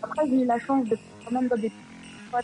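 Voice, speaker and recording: A woman from Congo, a guest on a radio show, explaining calmly with some hesitations. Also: a little high, brisk, music in the background, rough recording. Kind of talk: interview answers.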